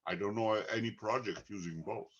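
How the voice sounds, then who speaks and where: A man with an Italian accent, a book co-author, talking slowly and deliberately with many pauses. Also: on the low side, lo-fi sound. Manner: slow, deliberate, frequent "uh" pauses. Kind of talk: conversational talk.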